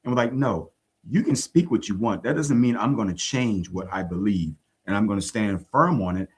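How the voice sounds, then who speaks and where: A man speaking calmly and evenly about standing firm in his beliefs on a faith podcast. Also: lowish voice. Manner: calm, measured, lively intonation. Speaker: podcast co-host. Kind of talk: casual faith discussion.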